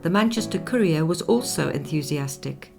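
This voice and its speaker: An actress playing a woman who recounts a composer's life in measured, informative radio-play narration. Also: low-pitched, expressive, vocal fry, music in the background. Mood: composed.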